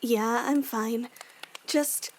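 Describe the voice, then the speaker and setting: Woman acting a young warrior woman, slightly high pitch, gentle, firm, sincere, anime audio drama, faint noise. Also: fairly even intonation, extremely slow.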